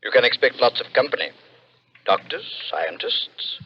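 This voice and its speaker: Animated sardonic American confidant. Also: male voice, mid-range voice, normal speed. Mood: soothing. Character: male confidant calmly revealing a grim secret, smooth and sardonic. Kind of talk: 1960s radio drama acting. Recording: muffled old radio, faint noise.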